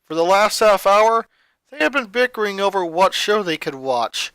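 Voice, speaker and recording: A man reading a story aloud as scripted narration, with dramatic, expressive delivery. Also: sits high, moderate pace, clean tone, dry recording. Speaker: narrator, fan fiction reader.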